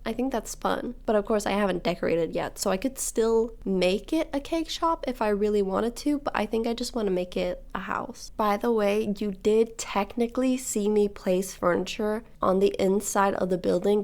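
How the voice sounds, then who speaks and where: A young woman of nineteen from Sweden, raised in Hong Kong, chats in a loose, rambling way over a game build. She drifts into side stories and speaks directly to whoever is watching, in a mid-pitched voice. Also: a little mellow. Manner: rambling, storytelling, talks to viewers directly. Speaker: gaming video creator. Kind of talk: casual chatty voice-over while building.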